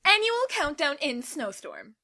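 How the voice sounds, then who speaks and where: A man voicing a bossy, energetic teenage girl club leader in a fan audio drama, announcing plans with glee. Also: very high-pitched, unhurried, voice pushed up, full character voice. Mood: gleeful.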